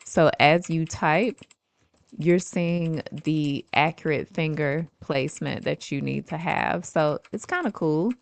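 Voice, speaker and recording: A woman's voice: sits low, slow, vocal fry, no room sound. A woman hosting an online video, talking through listings on screen in an informal, conversational and explanatory way. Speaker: YouTube host presenting remote job listings. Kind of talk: informal screen-share tutorial narration.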